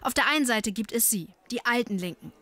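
A woman narrating a scripted news report in a measured, clear delivery. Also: fairly high pitch, expressive, pushed and bright.

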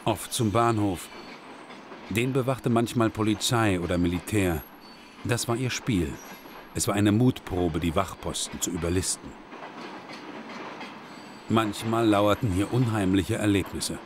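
Ein Mann, der ruhig und gemessen einen Dokumentarfilm aus dem Off erzählt. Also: male voice, on the low side, unhurried, pauses often, noisy background. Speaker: documentary narrator. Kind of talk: scripted documentary narration.

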